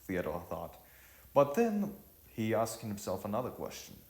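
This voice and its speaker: Echoey, halting male story narrator, expressive pitch. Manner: halting delivery, often repeating and correcting words. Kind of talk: amateur story narration, read aloud.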